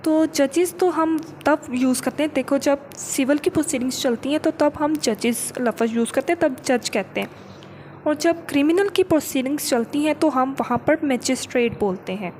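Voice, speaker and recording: High-voiced Pakistani woman teaching law. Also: normal speed, a little mellow, low-quality recording. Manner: didactic, repeats and rephrases points, poses questions and answers them. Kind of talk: explanatory lecture mixing English legal terms, reading clauses and explaining them. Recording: noticeable background noise.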